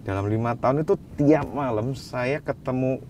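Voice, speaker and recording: A man answering interview questions as a wine expert, relaxed, slipping English phrases into his speech. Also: mid-range voice, normal speed, slight background noise.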